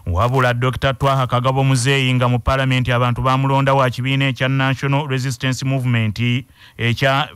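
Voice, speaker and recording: A man with a Ugandan accent reading scripted news in a brisk, formal newsreader style. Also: mid-range voice, level tone, a bit bright, clear, resonant tone, no room sound, clean studio sound. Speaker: news anchor.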